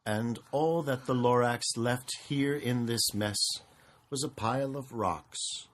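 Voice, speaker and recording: A man's voice: mid-range voice, slow. A man reading a rhyming children's story aloud in a dramatic way, drawing out and repeating phrases. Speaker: storybook reader.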